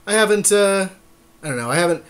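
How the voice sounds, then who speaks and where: Guy with a bit higher voice, gaming podcast co-host, casual, thinking out loud, not too sure. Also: lively intonation, fast. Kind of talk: casual card game draft chat.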